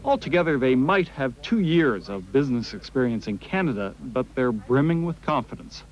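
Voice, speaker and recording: A man narrating a television news report in a measured broadcast style. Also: mid-range voice, very animated. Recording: slightly dull broadcast audio with faint noise.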